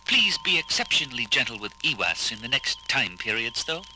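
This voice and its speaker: A man's voice: mid-range voice, big pitch swings, fairly quick, sharp tone, a little hiss or hum, low-quality recording. An actor playing a polite male alien ambassador, speaking in a courteous, diplomatic, formal way.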